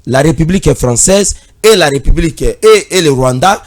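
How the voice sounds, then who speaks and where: A man's voice: on the high side, expressive, normal speed. A man with a Congolese accent giving emphatic political commentary in long, repetitive phrases. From Democratic Republic of the Congo.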